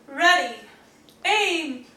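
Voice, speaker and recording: A woman's voice: high voice, lively intonation, drawn-out words, sharp tone, roomy sound, recorded from a distance, voice pushed up, full character voice. A woman voicing a bossy, inventive little girl in a storybook, eager and commanding in her exclamations.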